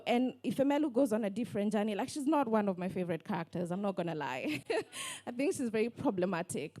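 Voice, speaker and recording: A woman, talking on a book panel in a lively storytelling way full of fillers. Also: a little high. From Kenya. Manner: animated storytelling with many fillers. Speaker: panelist, online book lover. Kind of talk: casual panel talk.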